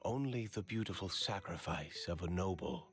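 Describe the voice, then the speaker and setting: Royal knight commander for a fantasy game: deep register, deliberate pace, courteous and grave, music underneath. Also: male voice, animated. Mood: grave, resolute. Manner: measured, formal, archaic diction. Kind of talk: dramatic video game voice acting.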